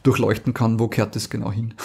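A man's voice: on the low side, little pitch movement, fast talker, vocal fry, slightly rough. Rambling man chatting on a podcast. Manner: rambling, many fillers and restarts. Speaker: podcast co-host. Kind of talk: casual chat about audio dramas.

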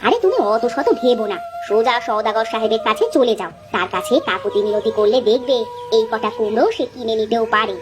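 A woman voices a practical, insistent village wife in a cartoon folk tale. Her voice is very high and she speaks in an urging way over clear music. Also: a little fast, natural voice. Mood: encouraging. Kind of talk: cartoon voice acting. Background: background music.

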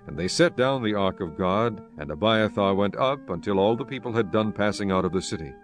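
Low-pitched male Bible narrator. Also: lively intonation, brisk, soft background music.

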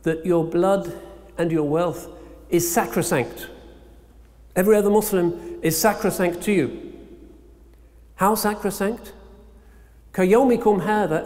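A middle-aged man delivering a solemn, measured sermon in short rhetorical phrases with pauses, as an imam. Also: high-pitched, a little mellow. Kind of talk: Eid sermon. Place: large mosque interior, at a lectern. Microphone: microphone on a stand. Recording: long hall reverb.

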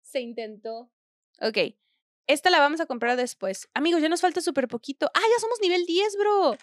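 High-voiced Mexican woman streaming a game. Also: lively intonation, normal speed, dry recording. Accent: Mexican Spanish. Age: young adult. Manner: chatty, slangy, thinks aloud while playing. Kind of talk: casual gameplay commentary. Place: small indoor room at home.

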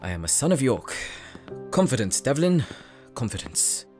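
A man's voice: mid-range voice, big pitch swings, moderate pace, darkish tone, close to an everyday voice. Man playing an uptight fantasy forge master, haughty, formal, clipped phrasing, light music behind. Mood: flustered. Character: stern, proud, uptight forge master, elder half-brother. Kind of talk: fantasy audio roleplay acting.

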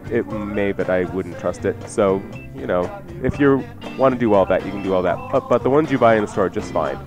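An American man with a fairly low voice hosts a cooking show on the radio. He talks informally and with banter as he explains, and music plays clearly behind him. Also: fairly quick. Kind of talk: casual cooking chat on live radio. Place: radio studio.

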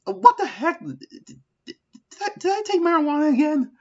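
Man playing exasperated hedgehog antihero, big pitch swings. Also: sing-song, lo-fi sound. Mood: indignant outburst. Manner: ranting, halting, exasperated. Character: angsty, exasperated dark hedgehog antihero with a short temper. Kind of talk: improvised comedic parody fandub.

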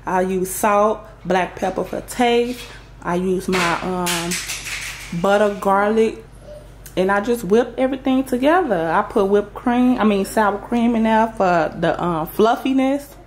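A woman's voice: medium pitch, a little slow, a little hiss or hum. A woman in her thirties hosting a casual cooking vlog in her home kitchen, chatty and playful, talking to viewers as y'all. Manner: playful, chatty, sing-song exclamations, addresses viewers as y'all. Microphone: far camera on tripod. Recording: roomy kitchen audio from a distant camera.

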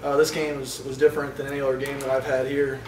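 A young man, a college quarterback at a postgame press conference, answering questions in a halting way with many fillers and restarts. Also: medium pitch, level tone, darkish tone. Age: young adult. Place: indoor press room in front of a sponsor backdrop. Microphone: table microphones from a short distance. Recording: roomy, distant sound with faint noise.

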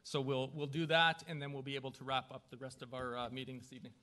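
A man speaking as mayor at a council meeting, running through formal procedure in a halting, self-deprecating way with informal asides. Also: mid-range voice, flat delivery, bright, forceful tone. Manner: halting, self-deprecating, stumbling over names. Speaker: newly elected mayor chairing a council meeting. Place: council chamber with a small audience.

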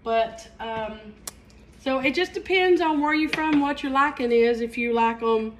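A woman's voice: sits high, unhurried, a little hiss or hum. A middle-aged woman from the mountains of Southeast Kentucky with an Appalachian accent, chatting folksily while hosting a live cooking stream in her home kitchen. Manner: folksy, chatty, says y'all. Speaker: cooking show host.

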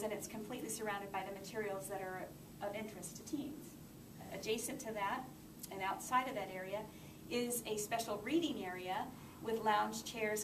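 Middle-aged woman speaking in an echoey room. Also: mid-range voice. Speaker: library manager giving a speech. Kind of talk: informal public speech, guided overview. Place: library community room, indoors. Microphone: far camera microphone. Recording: distant camera, echoey room, clear noise.

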